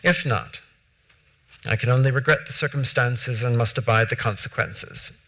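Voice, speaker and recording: A young actor reading letters as a proud statesman who answers in crisp, formal, curtly defensive language on a theater stage. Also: medium pitch, normal speed, fairly bright tone. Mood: firm. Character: defensive proud male statesman refusing demands.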